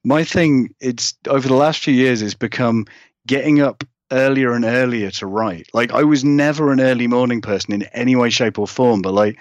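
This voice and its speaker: A man's voice: mid-range voice, moderate pace, no echo, muffled recording. A British man chatting casually as an interview guest, rambling and acting out remarks as he goes. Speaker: guest horror writer.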